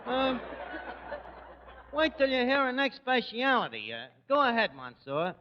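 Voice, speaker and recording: An actor playing a brash tavern manager with a New York accent who mangles grand words, in a 1940s radio comedy. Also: male voice, very high voice, sing-song, measured pace, a faint crowd, exaggerated voice. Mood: coaxing. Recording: muffled hissy 1940s radio, reverberant hall.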